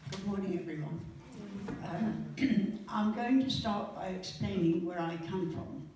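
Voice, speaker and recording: A woman, telling her wartime memories to a church congregation in a halting way, with pauses and throat-clearing. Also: mid-range voice, mellow, a little hiss or hum. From Norwich, England. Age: 80s. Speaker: guest speaker sharing memories. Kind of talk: personal storytelling to a congregation. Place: church hall. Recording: echoey church hall from far off.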